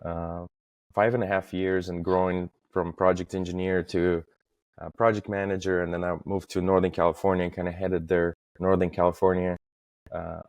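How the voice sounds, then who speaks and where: A man in his thirties from Estonia, talking as a podcast guest in a halting way, with many fillers and restarts. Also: low-pitched, no room sound. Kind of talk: casual interview talk.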